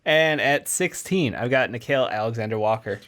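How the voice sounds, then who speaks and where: A man's voice: mid-range voice, normal speed, a bit bright. A man giving casual sports analysis as a co-host, with a wry, joking manner.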